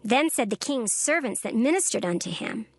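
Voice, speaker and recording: A woman reading scripture as narrator in a steady, formal way. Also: a little high, moderate pace, no echo, clean studio sound. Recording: narration over music bed. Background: music.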